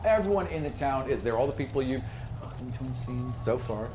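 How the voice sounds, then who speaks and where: A man's voice: medium pitch, expressive, fairly quick, music in the background. A man working as game master, improvising tabletop game narration in an informal way with sound words and asides.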